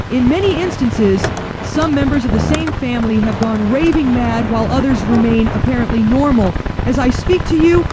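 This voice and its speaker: A woman's voice: a little high, close to an everyday voice. Woman acting a shaken radio reporter, very noisy. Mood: grave, bewildered. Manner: grave, formal, florid narration. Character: distraught female field reporter amid horrific mass chaos. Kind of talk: dramatic scripted live radio report. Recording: loud outdoor background noise.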